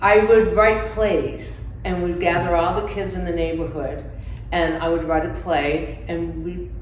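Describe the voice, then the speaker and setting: A woman's voice: lowish voice, fairly even intonation, unhurried. An older woman giving a casual interview, reminiscing in a conversational way as an office manager. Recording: echoey room, distant mic, faint noise.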